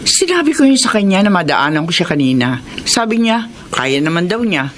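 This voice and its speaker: A Filipino actress playing a calm, understanding wife in a radio drama. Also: female voice, fairly low pitch, a little fast, slight background noise. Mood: reassuring.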